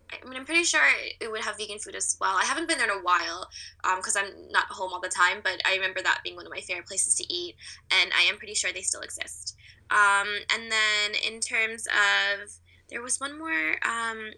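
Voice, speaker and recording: Chatty American woman, very bright, creaky voice. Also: a little high, rough recording. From Virginia, United States. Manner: chatty, laughs easily. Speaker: interview guest, artist living abroad. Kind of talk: casual interview chat.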